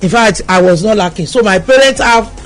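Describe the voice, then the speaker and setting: A woman's voice: medium pitch, fairly quick. A middle-aged woman with a Nigerian accent telling personal stories as a radio interview guest, with an emphatic manner. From Lagos, Nigeria.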